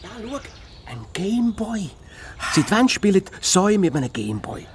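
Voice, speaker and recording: A man with a Swiss German accent playing a soft-hearted hedgehog assistant detective, earnest and insistent, in a children's radio play. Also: high voice, sing-song, moderate pace, a little hiss or hum. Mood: curious, insistent. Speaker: actor in children's audio drama.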